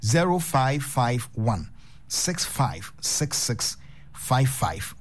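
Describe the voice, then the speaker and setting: A man's voice: medium pitch, big pitch swings. Ghanaian man hosting a radio talk show. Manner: unhurried, drawn-out reading of phone numbers. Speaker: radio host.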